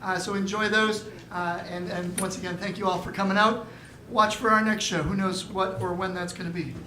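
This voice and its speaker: A man's voice: sits high, steady tone, normal speed. A man giving a casual, upbeat curtain-call announcement to a theatre audience. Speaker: member of theatre company giving curtain speech. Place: small live theatre with audience. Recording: roomy hall, loud audience noise.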